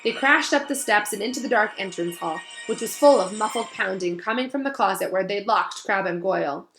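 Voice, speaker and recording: Bright-voiced woman reading a story aloud. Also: mid-range voice, brisk, soft background music, poor audio. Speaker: read-aloud narrator. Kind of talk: expressive storybook reading with casual asides.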